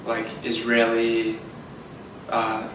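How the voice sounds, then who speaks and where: Hesitant young man, monotone. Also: mid-range voice, extremely slow. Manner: hesitant, repeats words, many "like" fillers. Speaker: interviewee. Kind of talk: casual interview answers. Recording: very echoey room, distant mic, noticeable noise.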